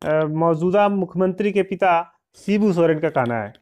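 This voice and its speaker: A man's voice: sits high, animated, moderate pace, a little mellow. A man presenting an informal news update as a channel host, explaining things directly to his viewers and at times reading aloud.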